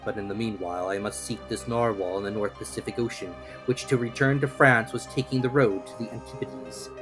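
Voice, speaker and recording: A man's voice: fairly low pitch, a little fast, music in the background. A man giving a theatrical, formal dramatic reading of an adventure novel as its narrator. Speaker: narrator, reader.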